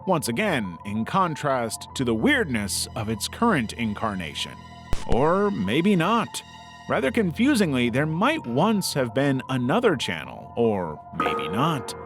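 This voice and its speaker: A man's voice: mid-range voice, huge pitch swings, measured pace, over music. A man reading scripted explainer narration in a wry, conversational way. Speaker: narrator of internet horror explainer videos.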